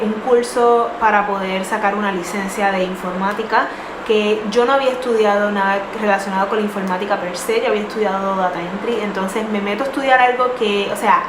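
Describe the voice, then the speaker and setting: A young woman in her twenties earnestly telling a personal story, with many fillers and restarts. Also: mid-range voice. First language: not English. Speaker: vlogger. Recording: echoey room with noise.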